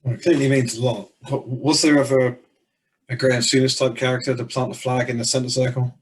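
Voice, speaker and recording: A man hosting a casual podcast, asking relaxed, conversational questions. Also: medium pitch, fairly quick. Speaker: podcast host. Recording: voice distant from the mic.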